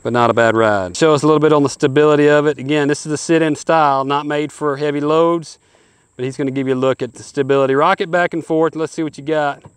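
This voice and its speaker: A man in his forties hosts a hunting show and walks through kayaks with easy, folksy enthusiasm. His voice sits a little high and comes through smooth and resonant. The sound is dry and close, with no room around it. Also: steady tone, quick pace, no room sound. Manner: upbeat, folksy salesman-like patter. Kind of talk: product review walkthrough. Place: outdoors on a lakeshore.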